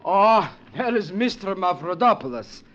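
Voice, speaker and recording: An actor playing a precise little ship's purser who answers politely but refuses to be alarmed. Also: male voice, sits high, lively intonation, a little slow, fairly bright tone. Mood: dismissive. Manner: clipped, polite, unmoved. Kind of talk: 1940s radio acting. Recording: dull old radio broadcast with faint hiss.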